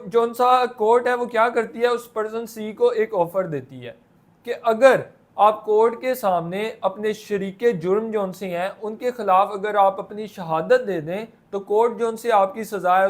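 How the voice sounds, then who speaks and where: Man, Pakistani accent, high-pitched voice, didactic lecturer, explanatory delivery with questions and answers. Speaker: law lecturer.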